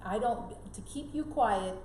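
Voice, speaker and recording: A woman's voice: a little high, expressive, a little slow, a little mellow. Emphatic woman in a roomy, echoing space. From Canada, born in Jamaica. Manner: emphatic, repeats key phrases, acts out quoted remarks. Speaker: interview guest. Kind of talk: earnest conversational advice in an interview. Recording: roomy echo, clear background noise.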